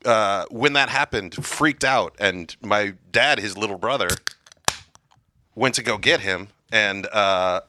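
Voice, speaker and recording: A man hosting a podcast, chatting and telling a family story at a quick, lively clip. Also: fairly low pitch, noisy background. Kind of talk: casual chat.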